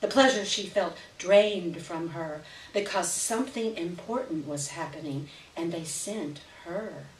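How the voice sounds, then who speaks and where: Woman, slow-paced story reader, literary narration, live event, echoey, distant mic. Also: lowish voice. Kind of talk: live dramatic reading of literary fiction. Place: live reading event before an audience. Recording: roomy, distant, hissy live recording.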